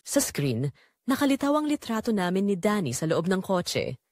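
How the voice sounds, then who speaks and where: Expressive woman narrator, dry close sound. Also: medium pitch, normal speed, no echo. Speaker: audiobook narrator reading a first-person romance story. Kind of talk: dramatic scripted narration.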